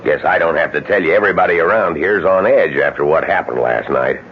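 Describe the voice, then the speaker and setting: An actor playing a suspicious, folksy rural sheriff who speaks with authority in a radio drama. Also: male voice, low voice, fairly quick, lightly acted. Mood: suspicious, commanding. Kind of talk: 1970s radio drama acting. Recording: muffled, noisy old radio broadcast.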